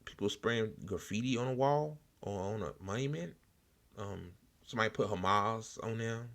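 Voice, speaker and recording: An American man hosting a political commentary show, speaking casually and unscripted in an informal, halting way. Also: on the low side, expressive, fairly bright tone. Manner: informal, hesitant, halting delivery with blunt slang.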